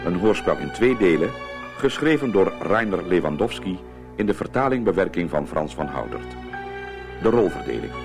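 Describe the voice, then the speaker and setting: A man reading scripted closing credits as a radio drama announcer. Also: low voice. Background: music.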